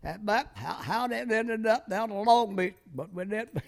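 A man's voice: sits high, measured pace, vocal fry. A very old American man, a war veteran in his nineties, reminiscing in a rambling, folksy way with clipped, loose sentences. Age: very old, 90s. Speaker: war veteran being interviewed. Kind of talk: oral-history reminiscence.

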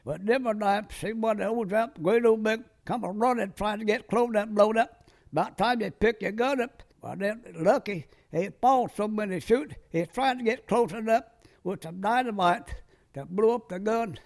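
An elderly American man in his nineties speaks with a heavily creaky voice, pitched high for a man. He recounts his past like an old veteran giving an oral history, in loose, clipped, folksy sentences that wander along. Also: normal speed, a little mellow. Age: very old, 90s. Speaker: war veteran being interviewed.

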